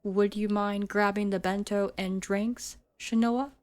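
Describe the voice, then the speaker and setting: A woman plays a domineering delinquent schoolgirl in an ASMR roleplay. She speaks very slowly at a middle pitch, condescending and teasing, with menace under the sweetness. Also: level tone, darkish tone, lightly acted. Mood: mock-sweet, menacing.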